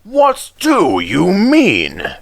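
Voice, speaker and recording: Stern storybook railway boss played by a man: slow, gravelly, wildly swooping, commanding. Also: sits high, huge pitch swings, no room sound, voice pushed up, exaggerated voice. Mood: exasperated. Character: stern, imperious male railway controller, exasperated boss.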